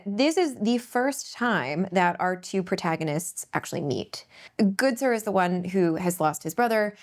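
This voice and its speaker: A woman hosting a table read, chatting briskly and then reading stage directions aloud. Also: medium pitch. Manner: brisk, upbeat, laughs easily. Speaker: host and script narrator.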